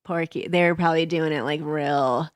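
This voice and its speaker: A woman's voice: sits low, moderate pace, smooth. A woman podcast host who chats in a playful, teasing way. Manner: playful and teasing, with lively interjections. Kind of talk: casual chat.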